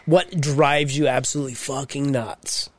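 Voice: medium pitch, steady tone, moderate pace. A podcast host, an adult past thirty, who banters and questions casually, teasing bluntly and swearing freely. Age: 30s or older.

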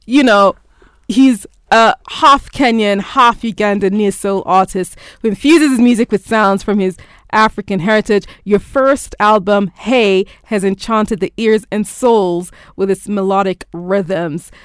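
A woman's voice: medium pitch, a little slow, clear, resonant tone, no echo, studio quality. A woman from Tanzania hosts a casual interview in a chatty, playful way, sometimes tripping over her words. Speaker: interview host.

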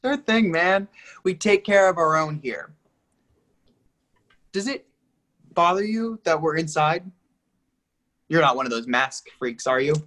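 A man's voice: fairly high pitch, expressive, a little slow, pauses often, fairly bright tone. An actor playing a brash, over-familiar man out at a bar in a play reading, chummy, teasing and pushy.

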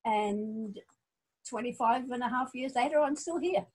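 A woman's voice: on the high side, measured pace, slightly rough, rough recording. A woman in her forties who was born in Scotland and grew up in Australia, speaking as an interview guest, thoughtful, with frequent ums and restarts. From Scotland, raised in Australia. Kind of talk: casual interview conversation.

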